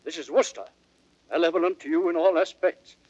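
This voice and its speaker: A loyal courtier in a Shakespearean audio drama. The voice is husky and fairly high, speaking formal verse with gravity on a dull vintage recording. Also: male voice, fairly quick, a little mellow. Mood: deferential counsel. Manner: formal, declamatory. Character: loyal, grave nobleman advising his king. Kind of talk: classical Shakespearean radio acting.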